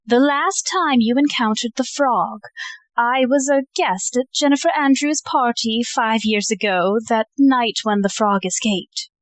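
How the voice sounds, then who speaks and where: Poised high-voiced aristocratic lady, played by a woman. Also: a little slow, dry recording, natural voice. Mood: composed. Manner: poised, composed. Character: sophisticated, unfazed titled lady, quietly amused and composed. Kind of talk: audio play acting.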